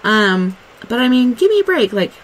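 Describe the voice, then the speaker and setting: A woman's voice: on the high side, very animated, moderate pace, slight background noise, low-quality recording. A woman from Arkansas hosting a radio talk show, chatting casually and rambling with plenty of "like" and "um.". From Arkansas, USA. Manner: rambling, lots of "like" and "um". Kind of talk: casual on-air chat.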